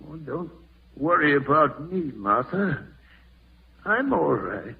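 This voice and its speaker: A man's voice: a little high, sing-song, slow pace, gravelly, full character voice. An actor playing an ailing, commanding old uncle who is protective of his niece, in 1940s radio drama style. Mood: weary, protective. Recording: muffled, noisy old-time radio recording.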